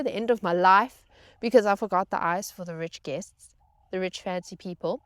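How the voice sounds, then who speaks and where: A woman's voice: mid-range voice, dry recording. A woman telling a casual comedic personal story to viewers in a dramatic, self-mocking way, repeating phrases for emphasis. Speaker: storytelling vlogger and former yacht crew member.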